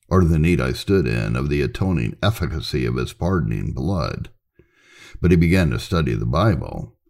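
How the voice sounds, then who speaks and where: A man narrating church history from a script in a solemn, measured, emphatic storytelling manner. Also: a bass voice, vocal fry, a little mellow. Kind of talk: scripted narration, reading aloud.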